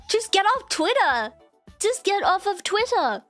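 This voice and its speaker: A woman chatting casually on her live stream, with a cute, high voice, rambling and giggling through restarts and fillers. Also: fairly bright tone. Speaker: VTuber streamer. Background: faint background music.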